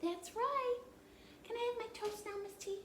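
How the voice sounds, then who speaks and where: A woman's voice: very high voice, normal speed, a little hiss or hum, pitched above the performer's own voice. A woman voicing an eager little girl puppet who chirps along during story time. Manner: eager, chirpy, begs for toast. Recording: roomy echo.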